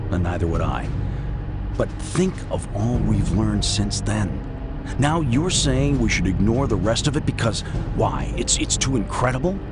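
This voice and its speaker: An actor playing a dutiful military intelligence officer in a video game cutscene, formal and deliberate. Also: male voice, medium pitch, noisy background, natural voice. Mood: earnest, persuasive. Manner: formal, deliberate briefing delivery. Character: earnest, dutiful male military intelligence officer, a deferential aide. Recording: loud music under the voice.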